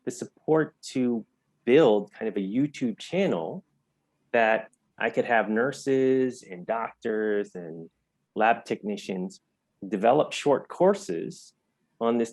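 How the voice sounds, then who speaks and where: An American man giving thoughtful interview answers in a measured, explanatory way, stressing key words. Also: lowish voice, darkish tone, no room sound. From United States. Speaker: interview guest, health entrepreneur.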